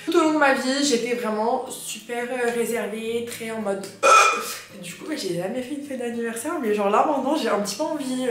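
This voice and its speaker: A young woman vlogging as an au pair, chatting in a lively, slangy way. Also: a little high. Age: young adult. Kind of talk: casual chat. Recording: very echoey room with music.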